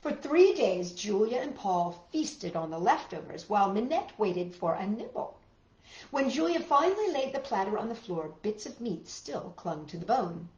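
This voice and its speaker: A woman's voice: mid-range voice, very animated, normal speed, a little mellow, highs cut off. A woman reading a picture book aloud to children with dramatic emphasis and chatty asides to her listeners. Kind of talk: expressive children's read-aloud. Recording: roomy, echoey room sound.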